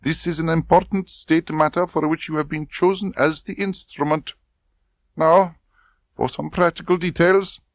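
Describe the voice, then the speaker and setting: A man's voice: on the high side, fairly even intonation, moderate pace, no room sound, pitched above the performer's own voice, lightly acted. A man voicing a domineering middle-aged female Soviet colonel in an audiobook, stern and commanding with a coaxing, oily edge. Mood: briskly instructing. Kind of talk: audiobook character voice.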